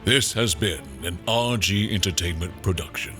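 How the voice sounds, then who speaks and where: An actor as a grave, mysterious storyteller, giving dramatic scripted narration for an audio drama. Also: male voice, low voice, normal speed, fairly bright tone, soft background music. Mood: ominous, reflective.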